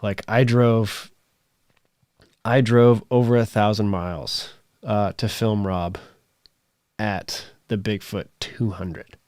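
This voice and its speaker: A man in his thirties hosting a live stream, chatting casually and reading viewer comments, his voice strained and raspy, talking in rambles full of fillers. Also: lowish voice, fairly even intonation, takes it slowly, darkish tone, dry recording, studio quality. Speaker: livestream host.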